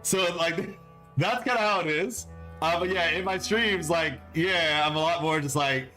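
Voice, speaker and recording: A man's voice: on the high side, a little slow, bright, forceful tone, faint music behind, poor audio. Rambling laid-back male streamer. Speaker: game streamer. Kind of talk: casual live-stream chat.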